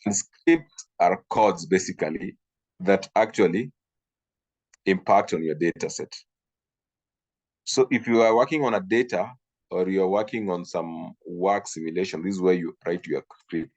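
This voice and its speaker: Deep-voiced man teaching an online class. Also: moderate pace, darkish tone. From Kenya. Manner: hesitant, repeats himself, frequent fillers. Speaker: instructor. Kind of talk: online training session. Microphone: video call.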